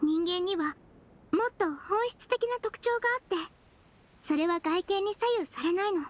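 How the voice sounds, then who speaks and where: A woman voicing a small, composed, kindly nonhuman head nurse in an anime-style game, gentle and matter-of-fact. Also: very high-pitched, moderate pace. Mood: calm, knowing.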